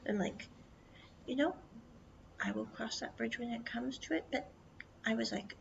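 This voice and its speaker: A woman from the United States telling a rambling travel story, mostly in a hushed whisper, chatty and full of "I was like". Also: a little high, moderate pace. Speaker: vlogger. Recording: dull lo-fi audio with clear background noise.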